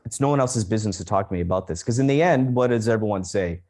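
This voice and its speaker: A man's voice: lowish voice, quick pace, darkish tone. A man co-hosting a podcast in casual chat, speaking frankly and outspokenly. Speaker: podcast co-host.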